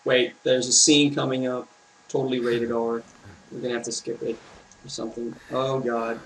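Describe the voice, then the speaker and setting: Casual male gamer, slightly distant mic. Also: mid-range voice. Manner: informal, reactive asides. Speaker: let's play gamer. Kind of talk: casual gameplay commentary. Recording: slightly distant mic, game music behind.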